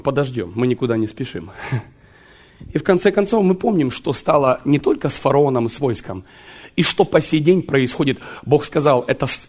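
A man preaching a sermon, joking and animated, with sudden shouts and laughter. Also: fairly high pitch, breathy edge.

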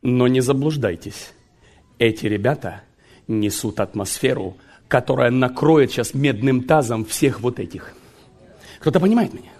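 A man preaching a sermon, joking and animated, with sudden shouts and laughter. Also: mid-range voice, moderate pace.

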